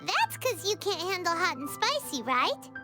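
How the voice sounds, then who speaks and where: Super squeaky little fairy sidekick, cheeky n excitable, swoops everywhere, game music behind. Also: female voice, moderate pace. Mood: teasing. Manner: speaks of self in third person, chirpy, blunt. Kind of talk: video game voice acting.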